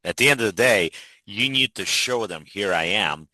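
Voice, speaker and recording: A man's voice: fairly low pitch, lively intonation, moderate pace, bright, forceful tone, no echo, rough recording. A man from Ukraine hosting a podcast, talking in an earnest, persuasive way with frequent restarts. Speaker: podcast host. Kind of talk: casual interview chat.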